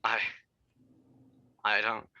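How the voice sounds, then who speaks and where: A man playing a shaken, regretful father in an audio drama table read, speaking haltingly. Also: bright, forceful tone, muffled recording, heavily acted.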